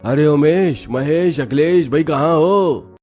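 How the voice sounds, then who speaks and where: A man's voice: fairly high pitch, slow pace, dark tone. A man playing a friendly, practical village working man in a cartoon story, plain-spoken and advising. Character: village men off to city factory work, friendly, practical.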